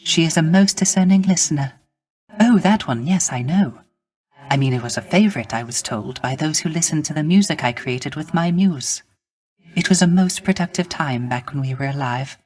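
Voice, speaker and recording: A man playing a gentle, melancholy male musician of the underworld in a video game, speaking formally and courteously with wistful, poetic, old-fashioned phrasing. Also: a little high, lively intonation, normal speed. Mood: adoring, grateful. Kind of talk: scripted video game character acting.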